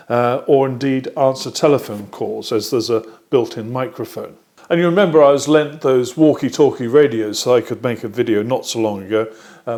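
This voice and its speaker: A British man hosting a workshop video, explaining a product to camera in a measured, hesitant way with frequent ums. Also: mid-range voice, a little mellow.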